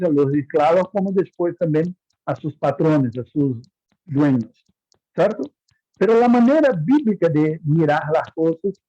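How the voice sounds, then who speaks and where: A man teaching a Bible course in Spanish as his second language, halting and repeating words as he lectures and asks his students questions. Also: a little high, dark tone, no echo. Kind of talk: online class lecture. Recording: muffled lo-fi audio.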